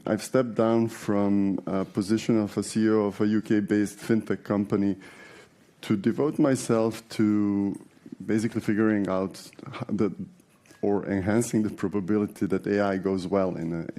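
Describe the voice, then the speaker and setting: Low, dark-voiced man. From Serbia. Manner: conversational, hesitant with frequent fillers and restarts. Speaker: conference speaker. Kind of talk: conference keynote talk. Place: large conference hall.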